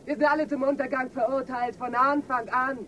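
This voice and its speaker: A woman's voice: high voice, steady tone, brisk, fairly bright tone, highs cut off, slight background noise. An actress playing a weary, dreaming surface-dweller woman, wistful and pleading, in a German science fiction radio drama. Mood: wistful despair.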